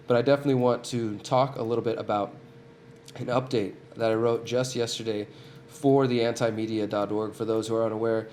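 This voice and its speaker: A man with a fairly low voice hosts a live stream while fighting a cold. He talks to his viewers in an earnest, direct way, restarting phrases as he goes. Faint room noise sits behind him. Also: darkish tone. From United States. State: has a cold. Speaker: host of a weekly live stream. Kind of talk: casual live-stream commentary.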